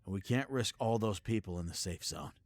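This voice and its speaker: A man's voice: lowish voice, lively intonation, fairly quick, dry recording, natural voice. A man playing a proud, loyal superhero in an audio roleplay, speaking with sardonic and earnest emphasis. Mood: urgent, anguished. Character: defiant male superhero, proud, sarcastic, fiercely loyal. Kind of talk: scripted audio roleplay acting.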